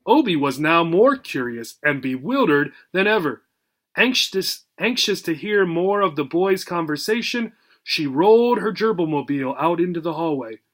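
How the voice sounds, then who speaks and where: A man reading a children's story aloud as a teacher, deliberate and expressive, emphasising words for learners. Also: a little high.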